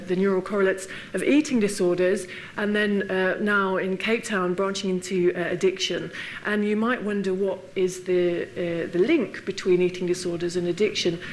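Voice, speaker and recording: An English woman giving a friendly public lecture, laughing often and filling pauses with ums and uhs. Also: medium pitch, poor audio. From England. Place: lecture hall.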